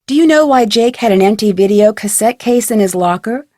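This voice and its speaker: A woman's voice: on the high side, expressive, a little fast, a little mellow, dry recording, studio quality, lightly acted. A woman playing an inquisitive, polite teenage girl detective in an adventure game, with earnest scripted delivery. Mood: curious.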